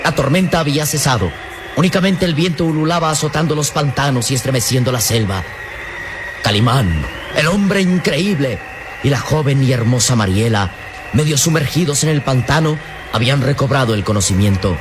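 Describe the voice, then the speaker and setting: A man's voice: medium pitch, lively intonation, normal speed, background noise. A man narrating a radio serial from a script in a solemn, emphatic, suspenseful manner. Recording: loud music behind radio narration.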